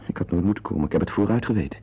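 An actor playing a self-important, opinionated husband in a radio drama, confiding in listeners in a wordy way and hesitant with strangers. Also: male voice, low-pitched, rapid-fire, husky. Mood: irritated. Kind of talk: radio drama acting with narrated asides. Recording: dull, lo-fi old radio play recording.

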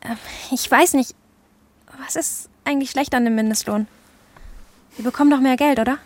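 A woman's voice: high-pitched, steady tone, normal speed, darkish tone. An actress playing an unsure nineteen-year-old postwoman in a radio drama, hesitant and dutifully rehearsed. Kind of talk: naturalistic radio drama acting.

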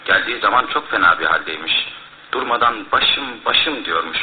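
A man's voice: on the low side, expressive, moderate pace, lightly acted. A male actor playing a courteous, businesslike police inspector in a radio drama, matter-of-fact and official. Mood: brisk, official. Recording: tinny, noisy old radio recording.

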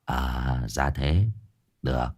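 A man voicing a gruff young male exorcist who speaks low and mockingly. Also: fairly even intonation, slow, darkish tone, exaggerated voice. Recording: faint background music.

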